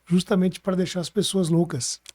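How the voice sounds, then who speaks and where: Chatty Brazilian man, higher-pitched and mellow. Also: little pitch movement, normal speed, dry recording. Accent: Brazilian Portuguese. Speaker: podcast host. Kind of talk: casual podcast conversation.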